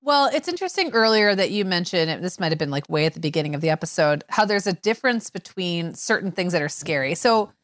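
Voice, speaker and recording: A woman's voice: lowish voice, sing-song, fast talker, hardly any pauses, a bit bright, no echo, clean studio sound. A middle-aged woman co-hosting a parenting podcast, telling stories in a chatty way with many fillers and restarts. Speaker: podcast co-host. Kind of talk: casual conversational chat.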